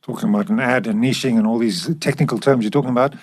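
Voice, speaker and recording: A man's voice: mid-range voice, quick pace, no room sound, clean studio sound. A man co-hosting a podcast, chatting in a casual, blunt conversational manner. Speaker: podcast co-host and author.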